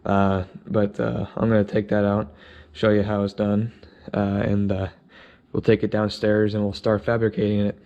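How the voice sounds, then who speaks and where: A man in his twenties talking casually through a hands-on DIY job, often halting and searching for words. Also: fairly low pitch, monotone, dark tone, muffled recording. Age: 20s. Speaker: DIY vlogger. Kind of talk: casual how-to narration while working. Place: indoors: vehicle cab in a shed, later a basement room. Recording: clearly noisy camera audio with clicks.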